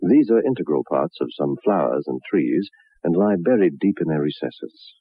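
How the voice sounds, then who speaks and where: Grave male narrator for a macabre 1960s radio tale: deep, measured, dry narrow-band sound. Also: expressive, breathy edge, dry recording, full character voice. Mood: grave, ominous. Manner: measured, ominous storytelling. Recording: muffled narrow-band old radio recording.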